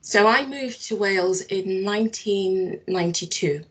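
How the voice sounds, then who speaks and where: A woman in her fifties from Barbados, a social work manager answering interview questions in a thoughtful, measured way with frequent restarts. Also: mid-range voice, low-quality recording.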